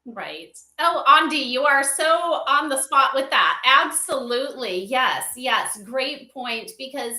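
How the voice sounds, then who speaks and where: A woman's voice: high voice, animated, takes it slowly, hardly any pauses, pushed and bright, reverberant. A woman chatting casually as an interview guest, effusive and emphatic in agreement, on a livestream call. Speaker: guest advisor.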